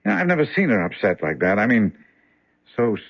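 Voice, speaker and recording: Lively young son, dry lo-fi radio. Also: male voice, lowish voice, fairly quick, vocal fry, breathy edge, dry recording, heavily acted. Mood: troubled, puzzled. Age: young adult. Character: concerned, puzzled young son and fiance. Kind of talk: old-time radio drama acting. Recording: dull lo-fi radio drama recording.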